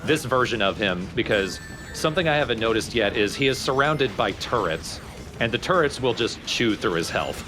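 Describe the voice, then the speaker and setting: A man's voice: mid-range voice, lively intonation, normal speed. A man hosting a let's play, explaining a video game in casual commentary. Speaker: let's play host and player. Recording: game music behind the voice. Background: video game music and effects.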